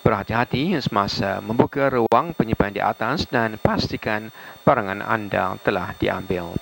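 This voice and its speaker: A man with a Malaysian accent reading formal cabin crew announcements in a halting way. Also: lowish voice, creaky, a bit bright. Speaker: airline cabin crew member. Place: aircraft cabin, over the PA. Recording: faintly noisy aircraft cabin announcement audio.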